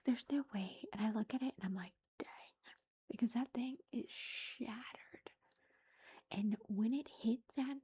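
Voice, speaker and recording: Woman vlogger, whispering a chatty story, mellow and breathy. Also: on the high side. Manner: whispering throughout, chatty with fillers. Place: indoors at home. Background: lawnmower faintly outside.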